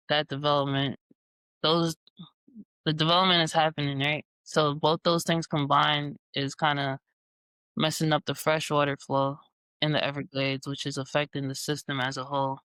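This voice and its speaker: Relaxed young male guest in his twenties, low-key and smooth-toned. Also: no echo, rough recording. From Jamaica and New York. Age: 20s. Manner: relaxed, easygoing, with casual fillers. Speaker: guest scientist. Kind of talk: casual interview answers.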